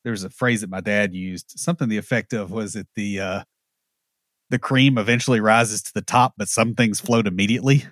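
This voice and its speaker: A man's voice: lowish voice, steady tone, brisk, fairly bright tone, no room sound, clean studio sound. A man co-hosting a podcast, giving blunt, wry opinions and anecdotes. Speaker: podcast co-host. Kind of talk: casual opinionated chat.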